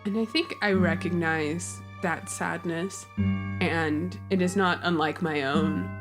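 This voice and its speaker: A woman with a fairly low voice narrates her part in a tabletop game. She speaks very slowly and pensively, over faint music.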